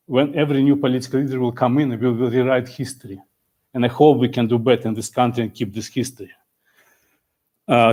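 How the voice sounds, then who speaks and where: A man's voice: medium pitch, darkish tone. Man around sixty, former-Soviet accent, earnest resident at the public-comment mic. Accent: former Soviet Union. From Soviet Union. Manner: earnest, plainspoken, with non-native grammar. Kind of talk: prepared public comment at town meeting. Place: town hall meeting room.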